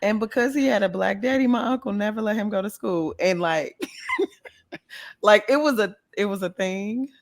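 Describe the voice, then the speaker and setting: A young woman co-hosting a casual podcast chat, a little hoarse, teasing and laughing often. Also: mid-range voice, moderate pace. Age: young adult. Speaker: podcast co-host.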